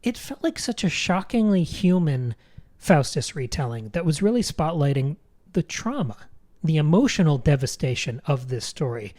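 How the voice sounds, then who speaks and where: Articulate, low-key mellow woman podcaster. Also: sing-song, moderate pace. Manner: articulate, emphatic, literary phrasing. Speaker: podcast co-host. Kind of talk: conversational film analysis.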